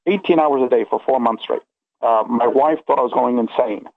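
A man's voice: medium pitch, fairly quick, gravelly, no echo. A middle-aged man telling a personal story in a casual, rambling, earnest way, stressing points and checking the listener follows. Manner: earnest, emphatic, checks in with okay and you know. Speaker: interview guest recounting personal experiences. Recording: tinny phone-like line.

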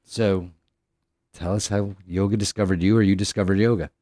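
A man's voice: fairly low pitch, steady tone, moderate pace, dark tone, no room sound, clean studio sound. A man hosting an interview, drawing out his guest with encouraging mm-hmms and loose, restarting questions. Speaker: podcast host. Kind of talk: interview host.

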